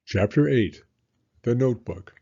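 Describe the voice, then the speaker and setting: A man narrating a children's story as an expressive read-aloud. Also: mid-range voice, measured pace, breathy edge. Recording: slightly dull, muffled audio.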